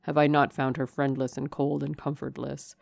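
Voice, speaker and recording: A low, gravelly gentleman lover acted by a woman pours out urgent, commanding declarations. He is possessive and desperate, in the grand declamatory manner of a dramatic audiobook, recorded close and dry. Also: level tone, normal speed, no echo, exaggerated voice. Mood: defiant. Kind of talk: dramatic audiobook character reading.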